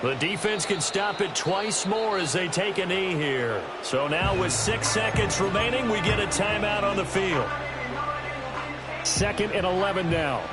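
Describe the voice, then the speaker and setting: A man calling play-by-play football in a brisk, crisp game-commentary style. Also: sits high, lively intonation. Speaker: play-by-play announcer. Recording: studio voice with game music behind. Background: game music.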